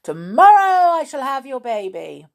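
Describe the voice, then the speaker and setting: Woman voicing a shrill, spiteful little imp man. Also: very animated, a little slow, gravelly, full character voice. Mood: gloating. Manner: shrill shouting, sing-song boasting. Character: tiny gleeful spiteful imp man with a long tail. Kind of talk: children's fairy tale storytelling.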